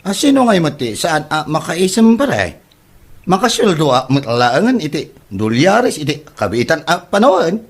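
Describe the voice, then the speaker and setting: A man's voice: fairly high pitch, big pitch swings. A man with an Ilocano accent playing a boastful, broke braggart in a comedy audio drama, stammering through his excuses. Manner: halting, hesitant, full of drawn-out stammers.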